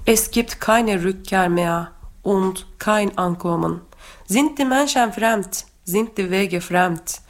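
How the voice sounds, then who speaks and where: A woman from Turkey with a Turkish accent, a teacher speaking careful, halting non-native German as she talks and reads poetry. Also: medium pitch. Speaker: guest teacher reading poetry. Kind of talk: personal talk and poetry reading.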